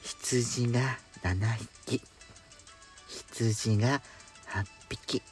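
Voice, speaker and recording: A man's voice: medium pitch, steady tone, drawn-out words, faint music behind. A man playing a coy, clingy, pampered sweetheart with feminine speech in an intimate bedtime audio drama. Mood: very sleepy. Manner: coy, teasing, feminine wording.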